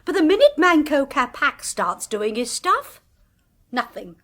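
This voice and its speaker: An English actress playing a jolly, domineering medium. Her voice sits high and she talks briskly, with teasing asides. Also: very animated, a bit bright. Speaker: medium and clairvoyant. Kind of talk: 1980s radio drama acting.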